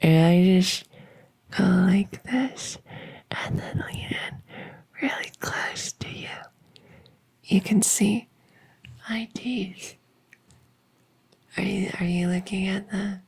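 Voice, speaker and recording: A woman playing an awkward, lonely female vampire in an ASMR roleplay, speaking in a halting, earnest, rambling way to the listener. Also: mid-range voice, a little mellow, slightly rough, full character voice. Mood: hushed, coaxing.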